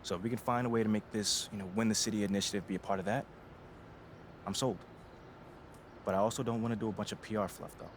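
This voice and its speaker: A man's voice: lowish voice, steady tone, fast talker, long pauses, a little hiss or hum, close to an everyday voice. An actor voicing a laid-back young male rookie basketball player in a video game cutscene, talking casually with slang and jokes. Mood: relaxed. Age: young adult.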